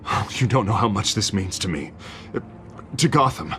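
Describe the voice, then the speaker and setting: An actor playing a middle-aged political candidate who talks hesitantly and apologetically, pleading for support. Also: male voice, fairly low pitch, expressive, normal speed, sharp tone, slight background noise. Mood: uneasy.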